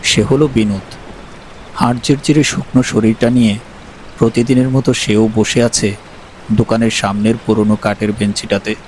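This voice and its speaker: A man narrating an animated horror story with a measured, storytelling delivery. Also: fairly low pitch, slight background noise, rough recording.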